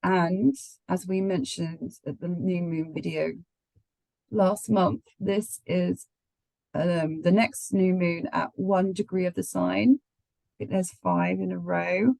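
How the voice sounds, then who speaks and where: Croaky woman astrologer with the flu. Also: on the low side, fairly even intonation, takes it slowly, a little mellow, no echo, low-quality recording. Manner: chatty, laughs often, many ums. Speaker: astrologer co-host. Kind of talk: casual astrology chat.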